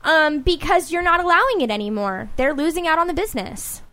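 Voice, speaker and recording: A woman co-hosting a talk radio show, chatty and quick to laugh. Her voice is high and sits close and dry on the mic. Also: lively intonation, pushed and bright, dry recording. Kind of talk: casual talk radio discussion.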